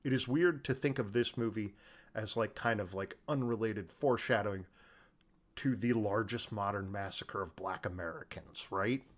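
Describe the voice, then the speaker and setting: A man's voice: lowish voice, normal speed. A man co-hosting a casual film discussion, talking colloquially with emphatic, incredulous delivery. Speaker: podcast co-host discussing a film. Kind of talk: casual chat.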